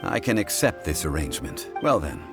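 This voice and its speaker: A composed, scholarly male consultant from a video game. His voice is low, with lively intonation beneath its formal, deliberate phrasing. Music plays softly behind him. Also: gravelly, heavily acted. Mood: calm. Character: calm, dignified, learned male consultant on a ruin expedition. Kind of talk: scripted video game dialogue.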